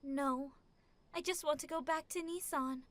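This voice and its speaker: A woman's voice: high-pitched, steady tone, unhurried. A woman playing a politely wistful young noblewoman held captive and homesick, in a radio play. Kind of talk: radio play acting, fan production.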